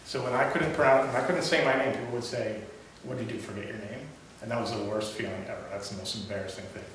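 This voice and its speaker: A young man giving a prepared speech to a club audience, with occasional stutters and restarts. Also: lowish voice. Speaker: club speaker. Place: meeting room. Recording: echoey room from far off, hiss.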